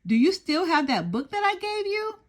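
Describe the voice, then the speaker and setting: A woman's voice: very high-pitched, big pitch swings, moderate pace, voice pushed up. A woman voicing an eager, breezy, chatty young girl in a children's storybook. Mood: urgent.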